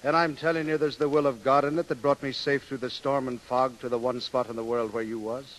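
An actor playing a boastful, smitten Irish sailor with lilting, flowery talk in a 1930s radio drama. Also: male voice, medium pitch, fairly even intonation, brisk, a bit bright, lightly acted, poor audio. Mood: boastful, admiring. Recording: hissy 1930s radio broadcast.

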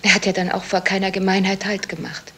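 A woman's voice: medium pitch, monotone, fast, fairly bright tone, a little hiss or hum, lightly acted. An actress playing a troubled young woman in a 1970s radio crime drama, speaking earnestly and hesitantly. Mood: distressed, confessing. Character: troubled, confessing young woman, blackmailed heiress.

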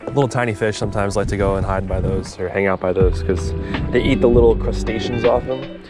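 A man's voice: lowish voice, steady tone, fairly quick, loud music behind the voice. A young man casually talking to camera while out fishing, upbeat and chatty with plenty of fillers, filmed on a handheld camera outdoors. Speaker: fishing vlogger. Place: outdoors on a wooden dock by the sea. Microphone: handheld camera at arm's length.

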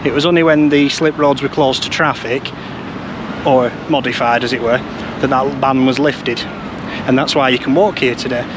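Man presenting outdoors over clear traffic noise. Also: medium pitch, steady tone, moderate pace. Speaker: presenter of a roads vlog. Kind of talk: informative location commentary to camera. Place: outdoors beside busy urban roads. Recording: outdoor street noise from passing cars.

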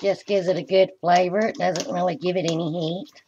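A woman's voice: lowish voice, fairly even intonation, normal speed, mellow. A woman giving plain, folksy step-by-step cooking instructions as she works at the stove in a home kitchen. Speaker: home cooking show host. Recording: dull lo-fi camera audio, kitchen noise.

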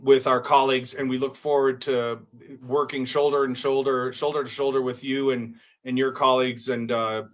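A man in his sixties hosting a live online presentation, measured, with hesitant pauses. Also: medium pitch, fairly bright tone. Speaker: live show host. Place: hotel room. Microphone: webcam on a video call.

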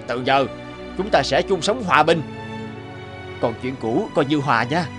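A man voicing a kindly, protective owl leader in an animated fable, with a gentle, reassuring and measured manner. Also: a little high, over music. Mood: conciliatory.

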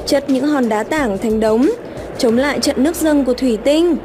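High-pitched woman narrating a children's story. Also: moderate pace. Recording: loud music under the voice. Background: music.

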